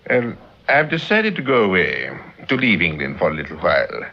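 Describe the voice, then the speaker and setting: Deep-voiced, weary older gentleman. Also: sing-song, normal speed, gravelly, heavily acted. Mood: gloomy, reproachful. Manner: formal, courteous, hesitant. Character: grave, world-weary older theatrical impresario, bereaved father. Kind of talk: mid-century BBC radio drama acting. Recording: dull, muffled vintage radio recording.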